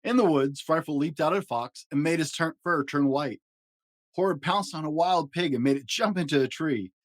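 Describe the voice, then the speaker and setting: A man reading a children's picture book aloud as a storyteller, with an expressive, dramatic delivery. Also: a little high, normal speed, dry recording, clean studio sound.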